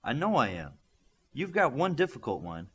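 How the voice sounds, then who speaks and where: A man's voice: mid-range voice, sing-song, moderate pace, a bit bright, husky, voice pushed up. Guy voicing confident superhero team boss in a storybook, blunt, matter-of-fact. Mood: dry, assured. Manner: straight-faced, matter-of-fact.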